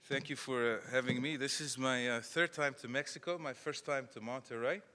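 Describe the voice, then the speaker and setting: A man's voice: mid-range voice, steady tone, fairly quick, bright, forceful tone. A South African man, English his second language, giving a conversational keynote talk to an audience with self-deprecating humor. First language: not English. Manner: conversational, self-deprecating, acts out phone calls. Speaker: keynote speaker. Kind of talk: motivational speech to an audience. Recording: echoey hall.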